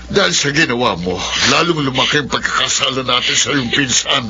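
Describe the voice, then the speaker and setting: A man's voice: medium pitch, brisk, pushed and bright. A stern, sorrowful father. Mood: reproachful. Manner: reproachful, pleading. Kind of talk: radio drama acting. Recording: dull lo-fi recording.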